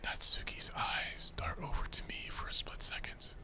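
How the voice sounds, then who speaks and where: A man reading a visual novel as whispered ASMR narration, soft and deliberate.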